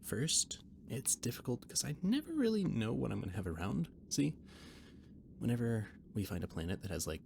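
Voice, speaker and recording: A man's voice: medium pitch, sing-song, moderate pace, creaky, a little mellow. A man playing a flustered, rambling catboy who cooks aboard a spaceship, hesitant and stammering, in an intimate roleplay audio drama. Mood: explanatory, rambling. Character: flustered, rambling catboy ship's cook and mechanic, eager friend. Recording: noticeable background noise and ambience.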